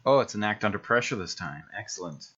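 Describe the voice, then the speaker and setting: A man's voice: on the low side, lively intonation, fast talker, sharp tone, slight background noise. A wry man talking casually as a player in a tabletop game, on a dull home recording.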